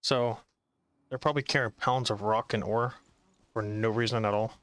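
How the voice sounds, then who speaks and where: A man's voice: fairly low pitch, steady tone, moderate pace, fairly bright tone, no room sound. A man who plays games, commenting casually on the game as he goes. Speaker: gamer.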